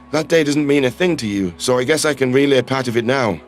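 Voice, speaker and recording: A man's voice: medium pitch, brisk, faint music behind, voice pushed down, natural voice. A man voicing a playful, romantic human ambassador who speaks with shy self-deprecation in a sci-fi audio story. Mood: romantic, bashful.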